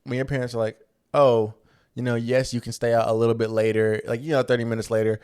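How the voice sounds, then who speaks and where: A man's voice: medium pitch, level tone, fast, a little mellow, clear, resonant tone. A man in his early twenties co-hosting a podcast, chatting casually in informal, slangy language. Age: early 20s. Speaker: podcast co-host. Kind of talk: casual chat.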